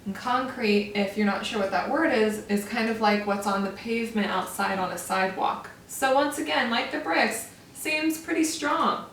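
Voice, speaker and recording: A woman's voice: on the high side, normal speed, hardly any pauses, fairly bright tone, a little hiss or hum. A woman teacher reading a picture book aloud to young children, stopping to explain words. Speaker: first grade teacher. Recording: echoey room, mic far off.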